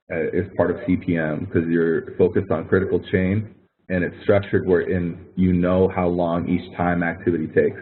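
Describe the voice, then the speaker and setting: Low-voiced male instructor on a phone-like line. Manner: conversational, coaching, repeats phrases. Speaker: college course instructor leading a webinar. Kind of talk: informal instructional webinar walkthrough. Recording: thin phone-like webinar audio.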